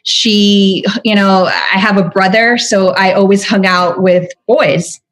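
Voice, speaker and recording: A woman's voice: mid-range voice, fairly even intonation, slow. A young adult woman from Venezuela, a podcast guest chatting earnestly with many fillers and restarts. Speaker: podcast guest, spiritual guide. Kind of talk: casual interview chat.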